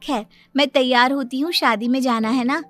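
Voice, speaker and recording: Woman doing naive sweet young bahu in a cartoon, high voice, polite n blunt, faint music. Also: normal speed, fairly bright tone. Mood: innocent. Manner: innocent, matter-of-fact, hesitant openers. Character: naive, brutally honest young daughter-in-law, sweet and polite.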